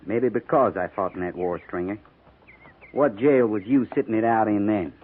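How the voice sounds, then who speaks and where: An American man playing a gruff, dutiful veteran cavalry sergeant in a 1950s radio western, terse and rough in his grammar. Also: on the low side, moderate pace, slight background noise, natural voice. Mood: stern. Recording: tinny 1950s radio recording.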